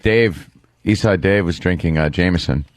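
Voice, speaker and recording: A man's voice: deep voice, normal speed, no room sound. A man co-hosting a radio show, telling stories in casual, comic banter with salty language.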